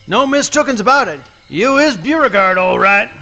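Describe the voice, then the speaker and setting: A man's voice: sits high, expressive, moderate pace, creaky, sharp tone, soft background music, exaggerated voice. A man playing a hot-tempered, greedy alligator in a comic radio play, blustering in folksy, mangled grammar. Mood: indignant.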